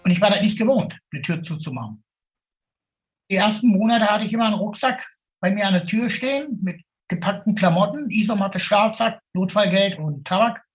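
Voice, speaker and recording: Higher-pitched plain-spoken man. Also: lively intonation, brisk, poor audio. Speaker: formerly homeless city tour guide. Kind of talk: casual spoken testimony.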